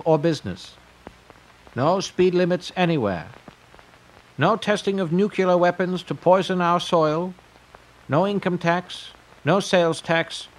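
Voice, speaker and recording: A man playing a grandiose, eccentric tycoon pitching his pleasure empire in a declamatory audio drama monologue. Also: rough recording.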